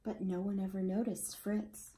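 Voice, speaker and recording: A woman's voice: mid-range voice, level tone, moderate pace, mellow. A woman reading a children's picture book aloud expressively, as storyteller to young listeners. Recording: roomy, echoey indoor sound.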